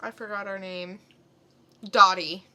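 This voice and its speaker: A woman with a bright, clear-cut voice recaps a story on a podcast. She takes her time, conversational and a little halting. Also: medium pitch, steady tone. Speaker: podcast co-host. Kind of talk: casual comic plot recap.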